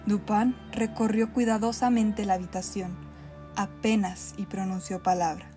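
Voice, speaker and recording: Radio drama ghost, female actor: murdered woman, measured and grave storytelling, Mexican accent, mid register, prominent score. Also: mid-range voice, little pitch movement, over music, lightly acted. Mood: solemn. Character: gentle murdered woman's ghost narrating the crime scene. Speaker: amateur actor in a radio play. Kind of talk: scripted dramatic narration.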